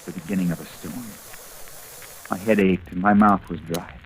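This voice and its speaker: An actor playing a haunted fugitive who narrates his own story gravely and deliberately in an old radio drama. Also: sits low, steady tone, a little hiss or hum, natural voice, rough recording. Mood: brooding. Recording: old radio recording with music behind.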